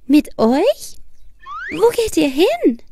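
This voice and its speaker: A woman voicing a sad, weary old cat in a children's cartoon, creaky and plaintive. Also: extremely high pitch, wildly swooping pitch, drawn-out words, mellow, a little hiss or hum, heavily acted. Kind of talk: children's fairy tale cartoon.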